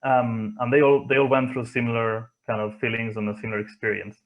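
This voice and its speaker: A man from Spain with a Spanish accent, giving reflective interview answers in a thoughtful, hesitant way with frequent pauses. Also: mid-range voice, level tone, dull audio. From Barcelona area, Spain. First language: not English, from Spain. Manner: hesitant, thoughtful, pausing often. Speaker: interview guest, motorsport engineer.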